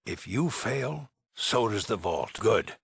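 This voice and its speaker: Weary, gravelly shelter leader. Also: male voice, lowish voice, lively intonation, slow pace, dry recording, heavily acted. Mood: pressing, agitated. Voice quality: raspy, gravelly. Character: weary, anxious male leader of an underground shelter. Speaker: voice actor in a video game. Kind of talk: dramatic game dialogue acting.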